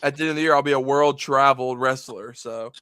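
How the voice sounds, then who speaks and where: A man's voice: medium pitch, steady tone, quick pace, a bit bright, clean tone, dry recording, studio quality. A young-sounding man, a guest wrestler talking eagerly and rambling with plenty of "like". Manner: eager, rambling, heavy filler. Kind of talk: casual interview chat.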